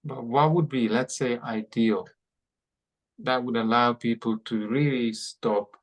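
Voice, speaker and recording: A man from Hungary hosts this conversation comes through clearly. He talks at an unhurried, deliberate pace in a middle pitch, restarting phrases and repeating words as he works his way toward each question. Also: fairly even intonation. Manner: halting, repeats words while forming questions. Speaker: podcast host. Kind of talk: interview questions.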